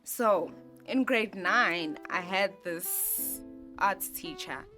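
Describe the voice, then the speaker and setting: Low-voiced South African woman in her twenties. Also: animated, drawn-out words. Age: 20s. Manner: soft-spoken, reflective, with many fillers and restarts. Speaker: interviewee, graphic designer. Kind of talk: relaxed personal interview. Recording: music bed playing behind voice.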